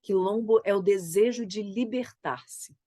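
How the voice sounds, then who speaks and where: A woman with a Brazilian Portuguese accent, a theater creator getting over a cold, talking about her work in a reflective, halting way and often tagging her sentences with "né?". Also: medium pitch, dark tone, no room sound, clean studio sound. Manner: thoughtful, halting, frequent "né?" tags. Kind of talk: reflective conversation.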